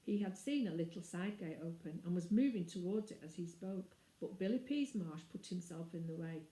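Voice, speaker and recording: A woman reading a children's book aloud as an expressive storyteller. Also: on the low side, moderate pace, echoey room, rough recording.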